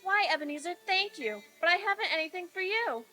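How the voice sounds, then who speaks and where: A woman playing a tender young sweetheart in a radio-play reading, earnest and expressive. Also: extremely high pitch, brisk, faint music behind, full character voice. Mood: delighted. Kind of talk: old-time radio stage reading.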